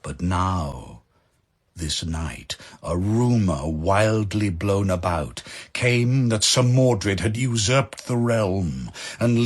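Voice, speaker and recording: A man narrating dramatic verse from a script in a solemn, measured manner. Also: on the low side, breathy edge.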